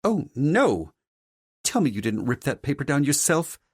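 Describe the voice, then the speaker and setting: A haughty, domineering society mother, voiced by a man for an audiobook. The voice is lifted high and swoops grandly, condescending and scolding, in a close, dry sound. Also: big pitch swings, unhurried, husky, no echo, heavily acted. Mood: aghast. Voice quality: deep female voice. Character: haughty, domineering wealthy mother of a grown son. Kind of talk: audiobook character dialogue.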